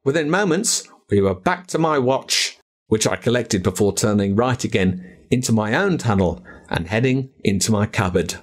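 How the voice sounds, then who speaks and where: A man with an Australian accent reading a spooky children's story aloud in a dramatic, drawn-out way. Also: fairly low pitch, animated.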